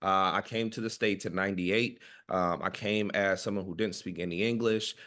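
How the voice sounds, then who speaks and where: Low-voiced man giving informal personal testimony. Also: steady tone, fairly bright tone. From Guatemala and Oakland, California. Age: 30s. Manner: informal, halting, repeats words. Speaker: educator and family organizer.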